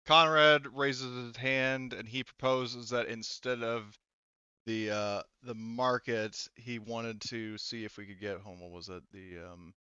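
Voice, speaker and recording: A man speaking casually and haltingly as he narrates and runs a tabletop roleplaying game as game master. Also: medium pitch, steady tone, pushed and bright, smooth, no echo, dull audio. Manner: halting, conversational, casual swearing.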